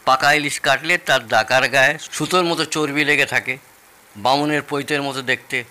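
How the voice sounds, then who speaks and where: A man's voice: medium pitch, fairly even intonation, moderate pace, sharp tone. An actor playing a jovial, proud older Bengali father-in-law in an audio drama, explaining things expansively and with relish. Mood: pleased, explaining.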